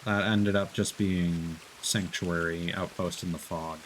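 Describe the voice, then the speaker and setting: A man giving casual commentary while playing a co-op game, guiding a teammate and thinking aloud haltingly. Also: sits low, fairly even intonation, a little hiss or hum, poor audio. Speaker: streamer guiding a co-op player.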